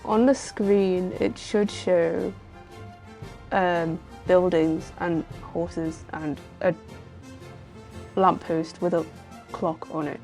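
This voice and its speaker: A woman's voice: on the low side, fairly even intonation, very slow, pauses often, darkish tone, soft background music. A woman streamer chatting casually on a livestream while playing a story game.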